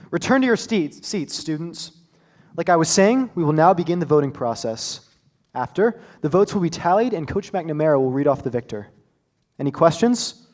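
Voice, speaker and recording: A man's voice: on the high side, close to an everyday voice. A teenage boy playing a strict school dean who runs an assembly in a formal, authoritative manner. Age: late teens. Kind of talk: staged musical reading.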